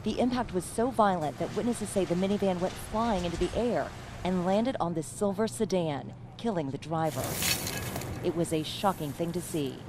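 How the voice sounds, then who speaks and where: A woman TV news reporter reading a scripted story in a measured, steady voice. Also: lowish voice, background noise.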